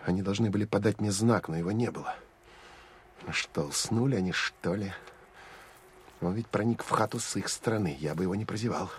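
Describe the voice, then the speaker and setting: An actor playing a hardened, sardonic counterintelligence officer who tells of an armed pursuit in an intense, expressive first-person audio drama. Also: male voice, on the low side, a little fast, pauses often, slight background noise, close to an everyday voice. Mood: wry, muttering. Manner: intense, expressive storytelling with wry asides.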